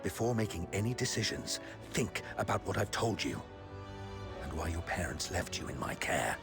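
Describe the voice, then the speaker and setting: Stern, gravelly low-voiced guardian. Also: male voice, very animated, moderate pace, music in the background, exaggerated voice. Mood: stern warning. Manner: scolding, curt, authoritative. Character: stern, gruff adult man, protective strict guardian. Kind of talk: video game voice acting.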